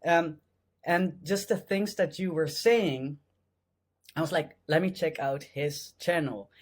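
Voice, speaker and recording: A man in his thirties with a Dutch accent, a guest in a casual interview, speaking English as a second language with halting, hesitant phrasing. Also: on the high side. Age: 30s. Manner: hesitant, many fillers and restarts.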